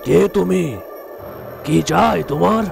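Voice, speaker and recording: A man playing a stern, commanding tantric holy man in an animated horror story, with a solemn manner. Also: sits high, takes it slowly, vocal fry, heavily acted. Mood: stern interrogation. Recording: music behind the voice.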